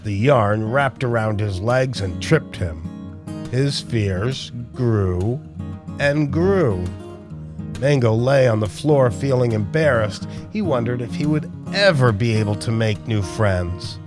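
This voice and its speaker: A man reading a children's picture book aloud in a measured, expressive storytelling style. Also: mid-range voice. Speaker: storybook narrator. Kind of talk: children's read-aloud narration. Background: music with plucked guitar.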